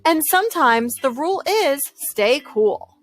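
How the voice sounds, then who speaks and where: Woman as a patient fish schoolteacher, high voice. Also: very animated, measured pace. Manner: patient, admonishing. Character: patient, gently firm female fish schoolteacher.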